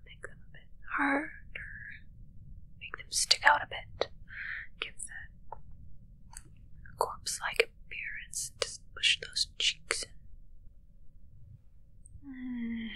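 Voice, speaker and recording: A young woman playing a haughty, morbidly playful witch in an ASMR roleplay, whispering slowly and breathily in a musing, gently critical way close to the mic. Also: high voice, husky, full character voice, low-quality recording. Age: young adult. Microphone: close microphone, seen at close range.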